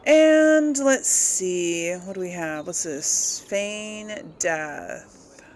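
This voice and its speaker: A woman's voice: lowish voice, lively intonation, very slow, darkish tone. A woman hosting a casual let's play, musing and thinking aloud as she goes. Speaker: gaming video host. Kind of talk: casual let's play commentary, some role-played replies.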